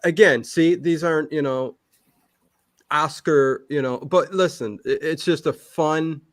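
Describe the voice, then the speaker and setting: A man chatting casually as an interview guest, rambling and halting, often backtracking and repeating himself, with emphatic interjections. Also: a little high, dry recording, studio quality. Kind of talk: casual chat.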